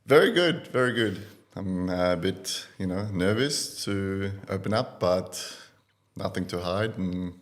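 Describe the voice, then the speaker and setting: A man from Iraq in his thirties, a guest on a podcast, telling his life story in a relaxed way with many fillers. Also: sits low, measured pace. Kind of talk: casual interview talk.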